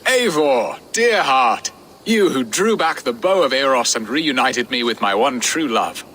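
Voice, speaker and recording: A man's voice: mid-range voice, animated, unhurried, pushed and bright. A jovial, lovestruck Saxon lord. His delivery is florid and swooping, in game cutscene style, over faint ambient noise. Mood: jovial, affectionate. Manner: florid, playful. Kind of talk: video game cutscene acting.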